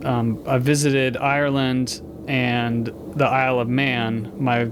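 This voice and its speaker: A man's voice: medium pitch, little pitch movement, slow pace. A man hosting a casual podcast conversation, asking questions with curious interest. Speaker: podcast host. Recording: audible background noise.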